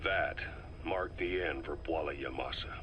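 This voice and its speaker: An actor playing a stern, probing military intelligence officer in a video game, with measured, clipped delivery. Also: male voice, very deep voice, fairly bright tone, a little hiss or hum. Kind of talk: dramatic video game dialogue.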